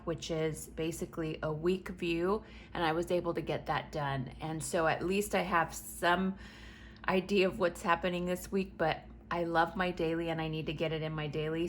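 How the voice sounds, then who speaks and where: Chatty woman with a sick, raspy voice. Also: fairly low pitch, slight background noise. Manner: chatty and rambling, frequent ums. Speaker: planner vlogger. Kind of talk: chatty plan-with-me vlog.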